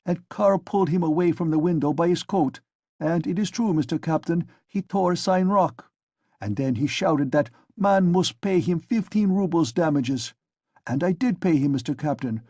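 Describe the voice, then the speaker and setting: A man's voice: fairly high pitch, a little mellow, husky, no echo, pitched above the performer's own voice. A man putting on a pattering German landlady. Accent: German accent put on. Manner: rapid pattering, obsequious, repeating. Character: flustered middle-aged German landlady, fawning.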